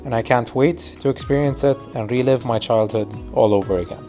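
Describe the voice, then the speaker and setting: A man's voice: mid-range voice, a little fast, mellow, faint music behind. A man in his twenties whose first language is Arabic, narrating a scripted video essay in a casual, wry, nostalgic way. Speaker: YouTube narrator.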